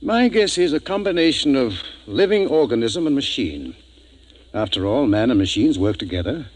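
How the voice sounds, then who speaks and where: Thoughtful space doctor, deliberate and emphatic, very varied intonation, 1950s radio drama recording. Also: male voice, mid-range voice, very animated. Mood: thoughtful, explaining. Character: earnest, thoughtful male ship's doctor and scientist.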